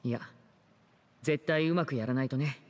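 A man's voice: mid-range voice, normal speed, lightly acted. Composed young magician, acted by a man, reverberant. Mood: earnest, careful. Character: composed, kind young male stage magician, protective leader. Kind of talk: Japanese anime game dialogue acting.

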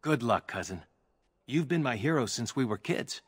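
Kind young elf man, fantasy game: mid-pitched, earnest, admiring. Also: expressive, moderate pace, slightly rough. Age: young adult. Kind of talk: video game voice acting.

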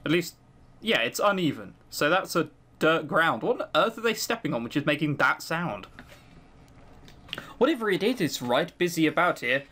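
A man's voice: a little high, big pitch swings, normal speed, sharp tone. A man giving let's-play commentary, reading game text aloud and breaking off for dry, sweary, critical asides.

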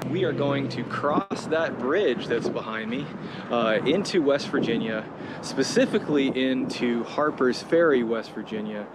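A man in his thirties talks casually as he walks by a river, holding a phone at arm's length. His voice sits in an ordinary middle range. Loud wind and passing traffic roar over the recording. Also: mid-range voice. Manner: casual, chatty, talks while walking. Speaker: outdoor educator. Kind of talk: walking vlog-style lesson. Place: outdoors by a river. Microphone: handheld phone at arm's length.

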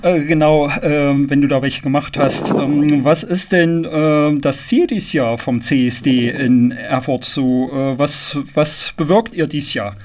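A man hosting a casual radio interview, asking loose, halting questions that ramble a little. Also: fairly high pitch, darkish tone. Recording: clearly noisy, clicking sound.

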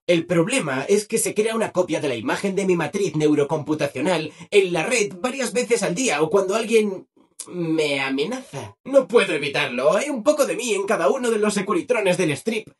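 Super cheery robot helper from a game, castilian spanish, high voice bouncing all over, eager to please. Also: male voice, animated, normal speed, slightly rough. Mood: cheerfully obliging. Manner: chirpy, over-obliging, exclamatory. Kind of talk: video game character voice acting.

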